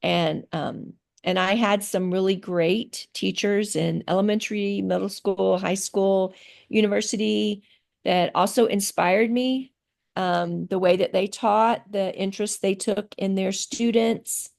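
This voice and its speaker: A middle-aged woman answering interview questions about teaching on a video call, speaking thoughtfully with many pauses and fillers. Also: medium pitch, steady tone, gravelly, a little mellow. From grew up in Thailand.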